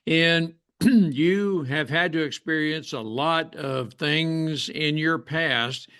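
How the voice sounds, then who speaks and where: A male podcast host speaking slowly and deliberately. His voice sits a little high for a man, and he works his way through long questions with fillers and restarts. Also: a bit bright, clear, resonant tone. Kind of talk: interview.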